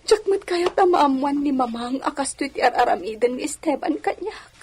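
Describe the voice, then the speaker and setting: High-voiced, plaintive young lady of the villa acted by a woman. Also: animated, normal speed, a little mellow, slight background noise. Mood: hurt, tearful. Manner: plaintive, sighing. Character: young mistreated lady of the villa, gentle, tearful. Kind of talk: radio drama acting.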